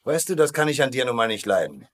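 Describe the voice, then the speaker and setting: A male actor plays a quick-witted captive colonel in a sitcom. He sounds cocky and wry, the born ringleader. His pitch is medium and moves freely. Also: sing-song, natural voice. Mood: scheming, confident. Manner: wry, confident. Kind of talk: dubbed sitcom banter.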